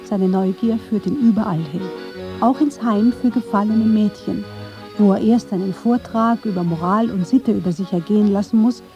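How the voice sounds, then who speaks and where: A woman's voice: medium pitch, normal speed, highs cut off, faint music behind. A woman reading scripted narration for a radio documentary.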